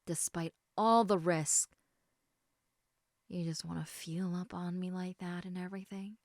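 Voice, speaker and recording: Casting: actress as caring half-snake lamia for intimate roleplay audio; soft, hesitant, unhurried delivery, very dry close sound. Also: female voice, fairly low pitch, fairly even intonation, dry recording. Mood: concerned, teasing. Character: gentle, protective, indecisive female lamia, half snake.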